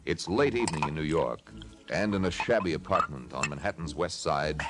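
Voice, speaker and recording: Animated old-time radio announcer man. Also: rumbling low, moderate pace. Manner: polished, emphatic delivery. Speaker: announcer and narrator. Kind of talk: 1950s radio announcing, scripted.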